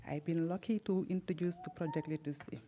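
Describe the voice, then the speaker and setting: A woman's voice: deep voice, steady tone, quick pace, dark tone, slight background noise. A woman from Senegal, whose first language is Wolof, speaking in simple non-native English as the student speaker at a graduation, heartfelt and a little nervous. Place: event hall at a library graduation.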